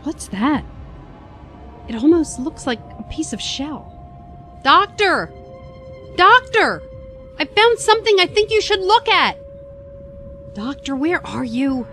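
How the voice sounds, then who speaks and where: High-pitched, questioning woman sidekick acted, practical and wry, sci-fi audio drama. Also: very animated, slow pace, long pauses, a bit bright, soft background music, heavily acted. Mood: alarmed. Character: wry, practical female travelling companion.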